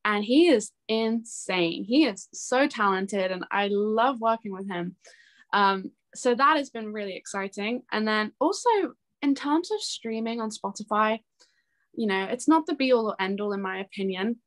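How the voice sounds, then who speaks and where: A woman's voice: medium pitch, animated, moderate pace. A young woman talking chattily and earnestly as a guest in a casual interview. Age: young adult. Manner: chatty, earnest, laughs easily. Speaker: interview guest, singer-songwriter.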